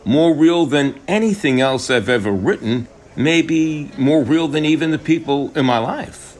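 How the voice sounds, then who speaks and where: A man's voice: fairly high pitch, lively intonation, measured pace, a little mellow, voice pushed up. A man playing a frustrated, discouraged middle-aged writer in a radio drama, speaking in a hesitant, confessional way. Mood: earnest, searching.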